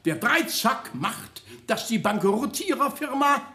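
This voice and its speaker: An actor playing an officious, mocking official who declaims a grandiloquent satirical speech in a radio play. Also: male voice, very high-pitched, animated, measured pace, sharp tone, exaggerated voice. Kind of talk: German radio play acting. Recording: roomy, echoing radio studio sound.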